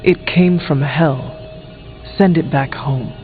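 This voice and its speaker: An actor playing a solemn, formal warrior hero in a video game, with stately, measured phrasing. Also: male voice, a little high. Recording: reverberant, thin phone-like audio with music.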